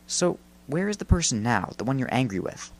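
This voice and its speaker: A man voicing a friendly, earnest boy spirit in a story, soft-hearted, hesitant and patient. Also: mid-range voice, big pitch swings, brisk, close to an everyday voice. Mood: caring.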